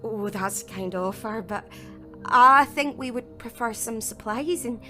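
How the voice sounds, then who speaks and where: An actress playing a guarded survivor group leader in an audio drama, wary and diplomatic under threat. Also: female voice, on the high side, a little slow, pushed and bright, faint music behind. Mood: placating. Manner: hesitant, placating, wary.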